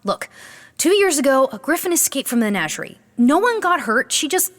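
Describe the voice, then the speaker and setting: An earnest young beastmaster in a fantasy audio drama. Her voice is very high and swings widely, anxious and flustered, often urgent. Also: very animated, fairly quick. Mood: urgent, exasperated. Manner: flustered, breathless, stammering urgency.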